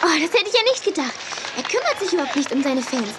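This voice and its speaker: An actress playing an excitable, impatient young girl in a 1980s German children's audio drama, exclaiming urgently. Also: high-pitched, quick pace. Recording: noisy old cassette audio drama.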